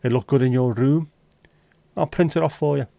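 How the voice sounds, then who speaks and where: A man's voice: medium pitch, moderate pace, gravelly, dark tone, dry recording. A man hosting a casual livestream, reading out chat messages and teasing viewers with jokey, lightly sweary banter. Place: home livestream setup.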